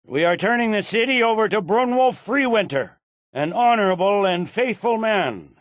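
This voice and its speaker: An actor voicing a stern, battle-hardened Imperial general in a fantasy video game, with a curt and commanding manner. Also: male voice, high voice, expressive, normal speed, no echo, studio quality. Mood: stern, proclaiming. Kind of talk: video game character dialogue.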